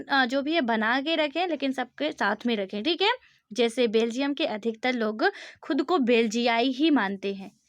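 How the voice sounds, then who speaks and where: A woman's voice: high voice, a bit bright, no room sound. A woman teacher giving a conversational exam-prep lecture, explaining with homely examples and rhetorical questions and often checking in with her students.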